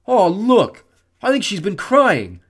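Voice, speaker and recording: A man's voice: high voice, wildly swooping pitch, slow pace, fairly bright tone, husky, pitched above the performer's own voice, heavily acted. A man voicing a sneering teenage god of fear who taunts and mocks. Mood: mocking. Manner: taunting, mocking, smug. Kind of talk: audiobook character voice.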